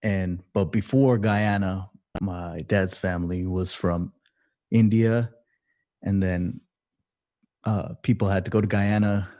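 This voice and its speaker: A young man chatting casually between songs of his livestream set, halting and rambling with many fillers. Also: low-pitched, fairly even intonation, mellow. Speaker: musician performing a livestream set. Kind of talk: casual between-song chat.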